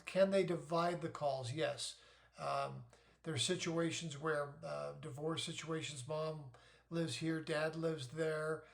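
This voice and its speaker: Higher-pitched middle-aged man explaining things. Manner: deliberate, methodical, poses questions then answers them. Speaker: host, navy parent giving advice. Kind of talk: informal explainer talk.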